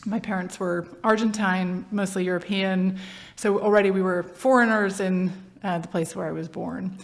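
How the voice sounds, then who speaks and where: A woman's voice: mid-range voice, moderate pace, vocal fry. A woman in her forties with an American accent, talking animatedly as a podcast guest. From Brazil. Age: 40s. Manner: animated, self-deprecating, many restarts. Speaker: podcast guest, agency founder. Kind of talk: casual interview chat.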